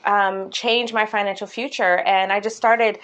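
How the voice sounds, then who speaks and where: A woman with a mid-pitched voice gives a personal, promotional talk as a chief executive. She speaks earnestly and haltingly, leaning on key words as she explains herself. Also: steady tone, a bit bright, clean tone. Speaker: company CEO.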